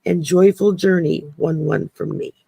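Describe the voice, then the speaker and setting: A woman's voice: on the low side, sing-song, normal speed, vocal fry, warm tone, slightly rough, no echo, rough recording. A woman co-hosting a livestream, chatting in an upbeat way as she thanks the viewers and signs off. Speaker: co-host. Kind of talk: casual livestream sign-off.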